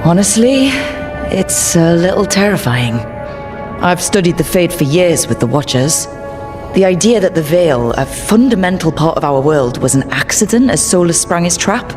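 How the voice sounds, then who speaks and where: A woman playing a steady, protective, candid hero in a fantasy game, speaking in a measured, sincere way. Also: on the low side, over music, close to an everyday voice. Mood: unsettled.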